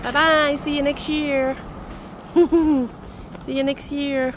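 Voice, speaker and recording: A woman's voice: sits high, little pitch movement, slow pace, a little hiss or hum, rough recording. A woman with a Vietnamese accent, a native Vietnamese speaker, chatting casually to her viewers while fishing by a river at night, laughing often. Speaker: vlogger. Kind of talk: casual vlog chat to viewers.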